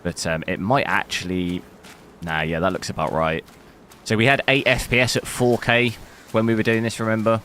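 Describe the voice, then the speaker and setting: A man streaming a video game live, talking casually through the settings, with a hoarse voice he says he is losing. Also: on the low side, steady tone, moderate pace. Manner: thinks aloud casually, bursts into loud dismayed cries. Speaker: gaming streamer. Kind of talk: live gameplay commentary. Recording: noticeable background noise.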